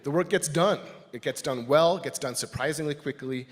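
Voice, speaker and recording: A man giving a personal, reflective talk to a congregation as a lay speaker, in a thoughtful, halting manner. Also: fairly high pitch. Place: large indoor church space. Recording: reverberant hall sound.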